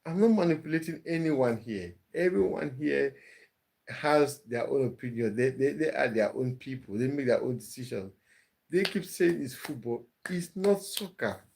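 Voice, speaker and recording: A man's voice: medium pitch, animated, normal speed, creaky, darkish tone, slightly rough. Chatty man hosting a late live stream. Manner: chatty, laughing, answers viewer comments one by one. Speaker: live-stream host reading chat. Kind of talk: casual live-stream chat.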